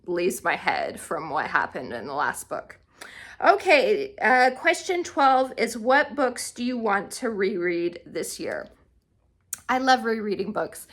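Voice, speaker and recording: A woman's voice: mid-range voice, bright, forceful tone. A woman talking casually and enthusiastically to viewers as a book vlogger, in a chatty way.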